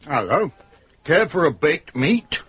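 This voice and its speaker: An actor playing a rough, cheeky working-class London manservant in a British detective radio drama. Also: male voice, a little high, very animated, slow, a bit bright, slight background noise, exaggerated voice. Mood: wry, chatty. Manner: colloquial, wry. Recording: dull, lo-fi radio recording.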